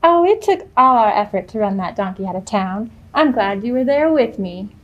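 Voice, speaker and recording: A woman's voice: fairly high pitch, animated, normal speed, lo-fi sound, natural voice. A woman voicing a plucky farm animal in a children's puppet show, with an exaggerated, theatrical delivery. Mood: relieved, fond.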